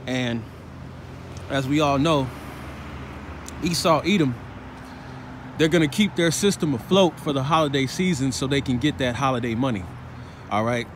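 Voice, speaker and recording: A man's voice: mid-range voice, moderate pace, long pauses. A man talks while walking down a city sidewalk, preaching his views in a street-slang manner and checking in with "all right?" and "man" again and again. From Chicago, United States. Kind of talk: walking vlog commentary. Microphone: phone held while walking. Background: faint traffic and street ambience.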